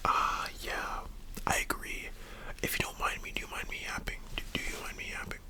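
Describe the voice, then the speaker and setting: An ASMR host speaking in a breathy whisper, chatting casually and rambling as they address the listener directly. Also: brisk, sharp tone. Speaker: host of an ASMR discussion channel. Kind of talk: whispered ASMR chat, casual movie discussion.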